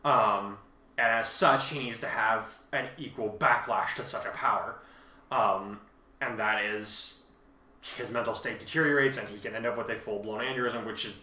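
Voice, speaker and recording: A man casually explaining as a co-host, halting but emphatic as he talks through his points. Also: lowish voice, bright, forceful tone. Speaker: co-host and fan fiction author responding to reviews. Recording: roomy, echoing sound.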